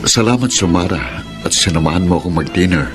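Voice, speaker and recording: A Filipino actor playing an earnest, lovestruck governor courting someone in a radio drama, insistent and romantic. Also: male voice, low-pitched, lively intonation, a little fast. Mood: pleased, courting. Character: lovestruck male provincial governor, earnest, generous, insistent suitor. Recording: music under the voice.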